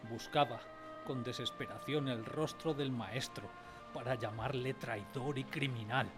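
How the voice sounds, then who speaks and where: An actor playing a grave narrator who recalls a village childhood in solemn, measured, literary radio-drama narration. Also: male voice, mid-range voice, close to an everyday voice. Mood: sorrowful, bitter. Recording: faint music behind. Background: faint music.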